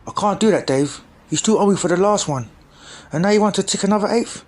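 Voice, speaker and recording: A man putting on a brash young street dealer, pitched well up and loud, with a strange strained tone. He scolds in rough slang, pushy and threatening, in a spoken story. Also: fast, soft background music. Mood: scolding.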